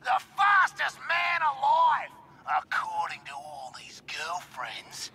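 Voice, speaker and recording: An actor playing a cocky, boastful villain in a game cutscene, taunting with a gravelly voice. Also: male voice, very high voice, huge pitch swings, slow pace, shouting, over music, heavily acted. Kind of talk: video game cutscene acting.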